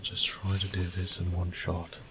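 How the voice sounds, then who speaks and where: A man playing a wry, resourceful thief in an audio drama, muttering gruffly and cursing to himself. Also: low voice, completely flat delivery, measured pace. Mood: dry, determined. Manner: gruff, sardonic, swearing under his breath. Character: wry, foul-mouthed adult male thief, resourceful under pressure.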